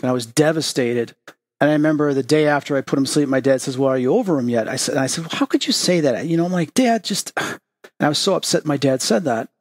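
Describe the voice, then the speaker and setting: A man's voice: on the high side, no echo, clean studio sound. A man hosting a spiritual podcast, talking in an earnest, reflective way and telling personal stories. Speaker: podcast host. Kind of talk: casual spiritual podcast chat.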